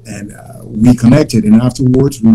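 A man's voice: medium pitch, steady tone, moderate pace, noisy background. A Liberian-born man on a video call, talking casually as a guest and rambling, with repeated words and lots of fillers. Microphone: laptop webcam on video call.

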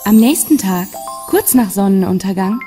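A female storyteller narrates a children's fairy tale from a script. Her voice sits at a medium pitch, with music clearly playing behind it. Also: expressive, moderate pace.

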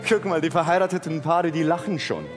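A man hosting a TV show, trading jovial scripted banter and laughing often. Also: a little high. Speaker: TV show host. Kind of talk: scripted comedy banter on a TV stage. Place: large TV studio stage. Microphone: headset. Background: band music.

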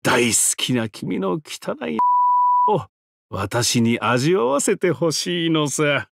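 A man's voice: on the high side, very animated, creaky, exaggerated voice. An actor voicing a depraved, mocking trickster giant-god held prisoner in a game, theatrical and taunting, drawing out his words. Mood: taunting, lustful. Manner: theatrical, taunting, drawn-out words, sudden swoops and cackles. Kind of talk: theatrical game character voice lines.

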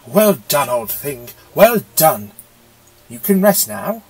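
A man's voice: a little high, very animated, takes it slowly, dry recording, faint music behind, voice pushed up, heavily acted. A man voicing an eccentric, wry time-travelling alien in a theatrical, exclamatory way for an audiobook. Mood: tender. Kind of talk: audiobook character voice.

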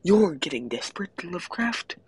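A man's voice: high voice, sing-song, moderate pace, slightly rough, heavily acted. Young man reading as a mocking gothic poet. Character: mocking male gothic poet, scornful rival.

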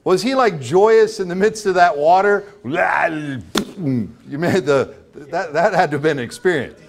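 A man's voice: on the high side, animated, unhurried, gravelly. A middle-aged American man preaching a church sermon, emphatic and repetitive, asking rhetorical questions. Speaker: pastor preaching. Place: church sanctuary, at a pulpit before a congregation.

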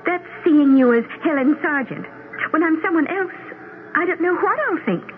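A woman's voice: high-pitched, expressive, normal speed. An actress playing a polite, gracious married woman in a 1970s radio drama. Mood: polite, curious. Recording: tinny, noisy 1970s radio broadcast.

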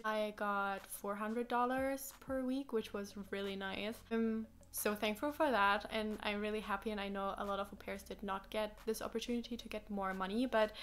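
A woman's voice: a little high, sharp tone, smooth. A young woman whose first language is German, chatting casually and answering questions in her living room, full of fillers and acted-out quotes. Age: young adult. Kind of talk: casual chat. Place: small living room with sofa, indoors.

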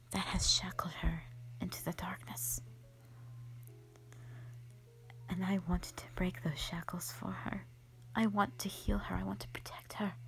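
A woman acts out an ancient she-dragon, gentle and protective of her kin. Her voice is low and hushed, almost all breath, and it moves very slowly, halting under old sorrow. It plays as an intimate audio drama monologue, with music behind it. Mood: tender, resolute. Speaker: audio roleplay performer. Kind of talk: soft whispered dramatic monologue, ASMR-like.

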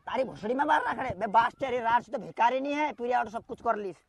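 Woman, high voice, quick pace, scolding and abusive, heated phone-call argument, repetitive. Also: crowd noise.

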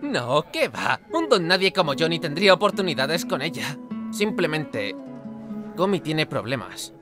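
A man acts an earnest teenage schoolboy from an anime, helpful and easily flustered. His voice is lively and varied in pitch, with an accent from Spain. Music runs behind him. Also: unhurried. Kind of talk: Spanish fan dub of anime. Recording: music bed under voice.

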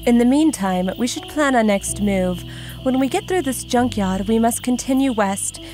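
A woman playing a solemn, ancient huntress in an audio drama, with formal, measured, archaic phrasing. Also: on the high side, animated, mellow, faint music behind, close to an everyday voice. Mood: grave, steady.

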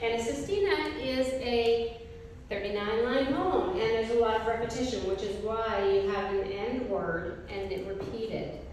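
A middle-aged woman reading a group poem aloud from paper pages at a gallery event, in a slow, measured recitation. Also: medium pitch, a little mellow, recorded from a distance, slight background noise. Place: art gallery, indoors, mid-sized room. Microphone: handheld mic, filmed from a distance. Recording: echoey gallery room, from afar.

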